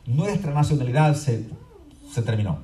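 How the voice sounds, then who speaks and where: A man preaching a fervent sermon as pastor, moving between quiet explanation and shouted proclamation and often asking his congregation to agree. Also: mid-range voice, animated, moderate pace. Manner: often asks the congregation "¿verdad?". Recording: echoey room from far off with faint crowd noise.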